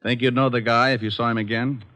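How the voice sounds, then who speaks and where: An actor playing a wry, unflappable private detective who also narrates, in the style of an old-time radio drama. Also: male voice, mid-range voice, little pitch movement, pushed and bright, natural voice, rough recording. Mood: cool, needling. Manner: wry, glib, quick with dry wisecracks. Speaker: lead actor and first-person narrator. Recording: vintage radio recording with music.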